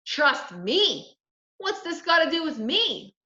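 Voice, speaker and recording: Woman voicing an indignant, high-pitched schoolboy. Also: sing-song, takes it slowly, sharp tone, reverberant, lo-fi sound, heavily acted. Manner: blunt, indignant. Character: exasperated, bossy grade-school older brother.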